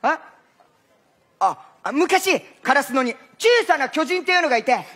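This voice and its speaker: A man playing an excitable, eager high school volleyball boy with loud, exuberant stage acting. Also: full character voice. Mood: earnest, excited. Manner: exuberant, shouty, drawn-out cries. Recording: noisy live stage recording.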